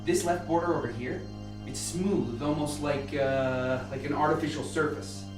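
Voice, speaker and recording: Woman doing a terse bossy male scientist, radio play, low voice, music behind. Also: moderate pace, natural voice. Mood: intent, puzzled. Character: methodical, skeptical male senior scientist leading investigation. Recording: very echoey room, distant mic.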